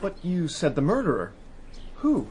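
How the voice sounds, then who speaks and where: An actor playing a brash, excitable young male passenger in a radio drama, with a breathy voice. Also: on the high side, moderate pace, a little hiss or hum.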